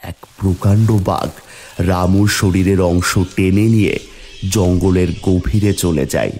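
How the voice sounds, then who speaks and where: A man narrating a scripted fairy tale as a storyteller for an animated video. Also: low voice, unhurried, faint music behind.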